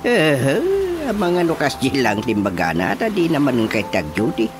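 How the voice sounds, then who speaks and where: A man's voice: medium pitch, big pitch swings, normal speed, close to an everyday voice. An actor with an Ilocano Filipino accent playing a talkative, exasperated village chairman in a radio comedy drama. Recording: loud music bed under voice.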